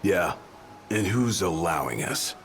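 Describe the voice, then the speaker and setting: A man's voice: deep voice, expressive, slow pace, slight background noise, heavily acted. An actor playing a blunt, casual, burly male companion in a video game. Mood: suspicious. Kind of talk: video game cutscene acting.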